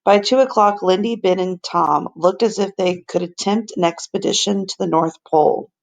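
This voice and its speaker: A woman narrates a children's storybook in a medium-pitched voice with noticeable creak. Her delivery is deliberate and expressive, like a teacher reading aloud to a class.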